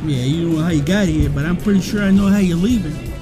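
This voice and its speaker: A man's voice: on the high side, fast talker, close to an everyday voice. A man playing an exasperated, touchy car show exhibitor in a radio comedy, blunt and scolding. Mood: threatening. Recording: music behind the voice.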